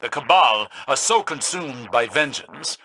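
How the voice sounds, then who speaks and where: An actor playing a grave, distrustful warrior adviser in a video game, speaking in a formal, measured way. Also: male voice, medium pitch, animated, pushed and bright, a little hiss or hum, rough recording. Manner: formal, stern, measured speech.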